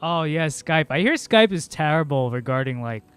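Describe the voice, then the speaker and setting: A man's voice: fairly high pitch, expressive, moderate pace, over music. A male game streamer, a native Cebuano speaker, chatting casually and rambling with lots of fillers. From Philippines. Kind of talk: casual livestream chat.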